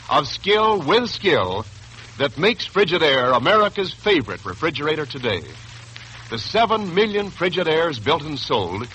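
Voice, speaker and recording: A man's voice: fairly high pitch, sharp tone, slight background noise, poor audio. A man announcing in 1940s radio style, polished, emphatic and declamatory. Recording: old 1940s radio broadcast.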